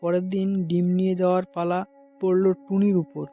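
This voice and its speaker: A man's voice: high voice, completely flat delivery, a little fast, dark tone, soft background music. A man narrating a scripted children's cartoon story as its storyteller.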